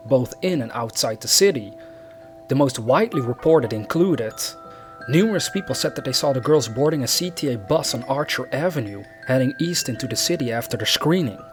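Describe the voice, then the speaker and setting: A man reading a scripted true crime narration as the narrator of a video. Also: medium pitch. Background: background music.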